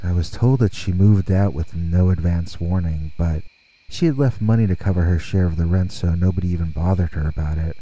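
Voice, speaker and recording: A man narrating a scripted first-person horror story in a deliberate way, with dramatic pauses. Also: low voice, dark tone, low-quality recording. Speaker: narrator reading scary stories.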